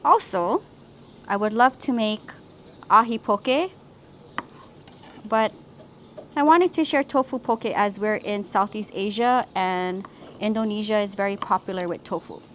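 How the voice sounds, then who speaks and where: A woman's voice: medium pitch, measured pace, pauses often, fairly bright tone, a little hiss or hum. A woman from Hawaii hosting a cooking vlog, explaining each step of a simple recipe in an upbeat way. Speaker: cooking channel host.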